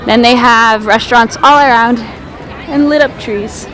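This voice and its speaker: A woman's voice: on the high side, steady tone, a little slow, background noise. A young woman chatting to her handheld camera as she vlogs outdoors, giggly and talkative. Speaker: vlogger. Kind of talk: casual vlog chat. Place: outdoors at a carnival and town center. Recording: outdoor camera audio with crowd and music.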